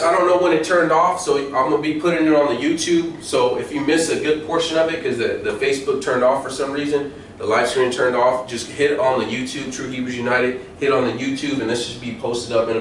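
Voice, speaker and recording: An American man preaching an informal sermon, reading scripture and commenting on it in an emphatic, colloquial way with repeated phrases. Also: on the high side. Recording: very echoey room, distant mic, faint noise.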